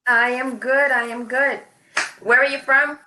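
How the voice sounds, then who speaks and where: A woman's voice: high voice, little pitch movement, background noise. A young woman from New York City, an English tutor chatting casually on a video call and often repeating her phrases. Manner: repeats phrases, friendly and measured.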